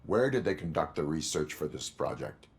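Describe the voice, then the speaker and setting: A stiff cyborg police officer speaks in flat, clipped, mechanical phrases. His voice sits very low. He is calm and literal, a character in a video game. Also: normal speed.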